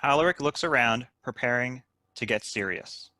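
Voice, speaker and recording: Guy reading script stage directions, bright midrange voice, no room echo. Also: medium pitch, normal speed. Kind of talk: scripted narration at a table read.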